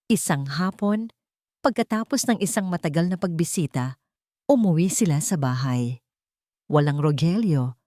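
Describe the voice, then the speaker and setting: Low-voiced woman narrating a sentimental story. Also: a little mellow, no room sound, clean studio sound. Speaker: story narrator and channel host.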